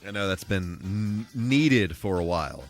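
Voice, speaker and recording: A man hosting a casual, rambling game livestream, animated and emphatic, repeating himself for emphasis. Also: deep voice, measured pace. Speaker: live streamer and host. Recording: music playing behind the voice. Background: music.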